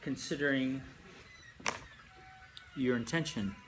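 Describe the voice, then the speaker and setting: A man leading a guided meditation as a yoga nidra teacher, speaking in unhurried phrases with long pauses and gentle instruction. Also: medium pitch. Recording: amateur recording with faint background noise.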